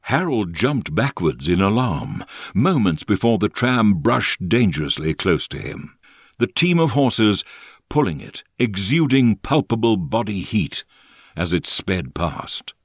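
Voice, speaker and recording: Male audiobook narrator for literary fiction: low register, animated melody, measured and expressive delivery. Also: breathy edge, dry recording, studio quality.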